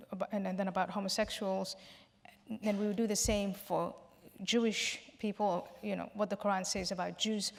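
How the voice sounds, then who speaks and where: Measured woman speaking in a big hall. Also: mid-range voice, gravelly. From Somalia. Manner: measured, explanatory, with restarts. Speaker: panel guest. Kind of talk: public Q&A discussion. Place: large hall. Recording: reverberant hall.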